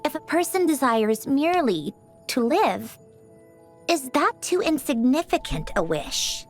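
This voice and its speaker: An actress voicing a composed, refined young woman in a game, gently haughty and reflective, with formal, measured, eloquent diction. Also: high voice, big pitch swings, soft background music, full character voice. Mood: wistful, earnest. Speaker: voiced game character. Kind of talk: scripted character voice lines.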